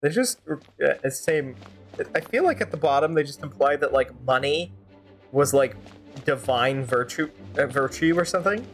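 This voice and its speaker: An animated streamer with a mid-pitched, androgynous voice and very lively intonation. The talk is casual, rambling gameplay commentary with mock exasperation, over game music. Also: normal speed. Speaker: streamer fan-dubbing a game live.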